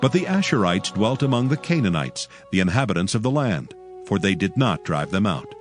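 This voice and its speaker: A man serves as narrator of a dramatized scripture reading. His low voice is solemn yet richly varied in pitch, and music plays clearly underneath. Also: sing-song, fairly quick.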